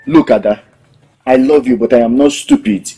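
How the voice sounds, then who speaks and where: A man's voice: a little high, sing-song, brisk, a little mellow, distant mic, faint music behind. An actor playing a tired young man who refuses his lover, with a firm, exasperated manner. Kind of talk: film acting.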